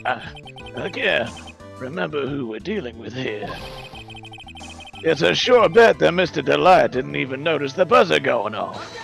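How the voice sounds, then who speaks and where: Man voicing smug, creaky, theatrical prosecutor. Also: a little high, big pitch swings, a little slow, pauses often, a bit bright, soft background music, full character voice. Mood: smug, dismissive. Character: smug, theatrical male prosecutor, sardonic and dismissive. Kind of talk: live fan dub of a courtroom video game.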